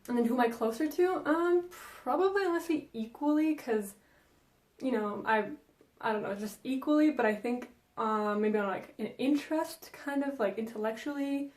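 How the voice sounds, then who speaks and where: Young woman vlogger, native Danish speaker, slightly high voice, chatty Q&A, roomy echo. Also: a little mellow. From Denmark. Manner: chatty, frequent ums and likes. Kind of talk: casual Q&A vlog.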